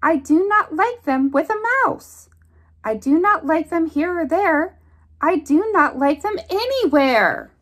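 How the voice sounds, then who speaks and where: A woman reads a children's picture book aloud in a high voice that swings widely up and down. She takes it slowly and deliberately, leaning into the rhymes with a singsong storytime rhythm. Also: big pitch swings. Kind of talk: expressive read-aloud of a rhyming picture book for young children. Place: indoors at a table.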